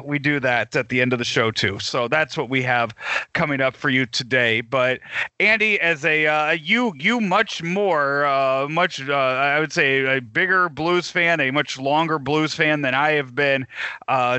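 Bright-voiced sports-show host. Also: male voice, fairly high pitch, no echo. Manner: halting, many fillers and restarts. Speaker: podcast host. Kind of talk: casual sports talk.

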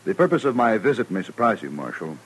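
A man's voice: fairly low pitch, sing-song, fast, slightly rough, poor audio. A man acting in a 1950s radio western as an earnest, self-assured former army officer, eager, insistent and formal in manner. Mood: formal, businesslike. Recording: vintage old-time radio recording.

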